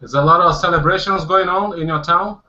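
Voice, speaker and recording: A man's voice: high voice, fairly quick, bright, forceful tone. A Brazilian man hosting a casual language-practice video call, upbeat and laughing often, switching between Portuguese and English. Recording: dull lo-fi video call audio.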